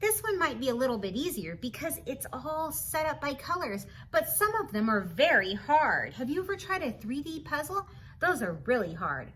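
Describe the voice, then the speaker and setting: A woman with a high, bright voice reads a children's storybook aloud. She is expressive and teacherly, often stepping out of the text with encouraging asides and questions. Some light noise sits behind her voice. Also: normal speed.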